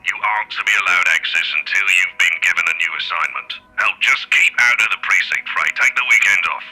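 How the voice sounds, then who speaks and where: An actor playing a stern older police chief in a visual novel, speaking with firm, weary authority. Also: male voice, deep voice, brisk, pushed and bright, faint music behind, exaggerated voice, poor audio. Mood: final, commanding. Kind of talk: dramatic visual novel voice acting.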